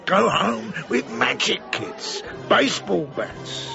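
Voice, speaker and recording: An actor playing a sinister, formally polite carnival master in a radio drama, speaking with deliberate menace. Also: male voice, high voice, very animated, gravelly, exaggerated voice. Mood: smooth menace. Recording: muffled radio broadcast with music behind.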